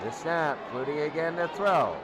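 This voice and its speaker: A man giving excitable live radio play-by-play of football, repeating phrases for emphasis. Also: fairly high pitch, moderate pace. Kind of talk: live sports radio commentary. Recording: radio call with music and crowd noise.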